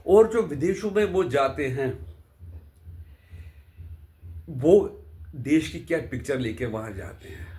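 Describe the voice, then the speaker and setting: Slow-paced man giving commentary. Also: fairly high pitch, expressive, dark tone. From India. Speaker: political commentator. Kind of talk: unscripted political news commentary.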